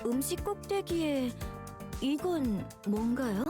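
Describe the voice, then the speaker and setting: An actress playing an innocent, kindly young woman teacher in a game, soft-spoken, with music behind. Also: high voice, lively intonation, racing. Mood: kindly, curious.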